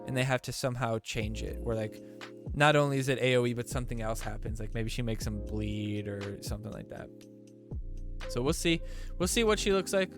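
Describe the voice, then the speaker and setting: A man hosting a gaming live stream in casual commentary, sounding stuffy and rambling with lots of fillers and restarts. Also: medium pitch. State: stuffy nose.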